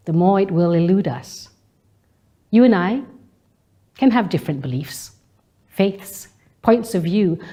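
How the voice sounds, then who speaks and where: A woman delivering a scripted spoken-word monologue in measured, deliberate phrases. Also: mid-range voice, dark tone. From Malaysia. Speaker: speaker delivering a personal monologue.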